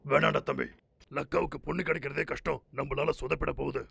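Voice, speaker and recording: Bossy, suspicious cartoon bear: very gravelly, bright and forceful, blunt. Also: male voice, fairly high pitch, steady tone, fast, dry recording, exaggerated voice. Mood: cautious. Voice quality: gravelly, husky. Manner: blunt, questioning. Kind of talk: dubbed children's cartoon acting.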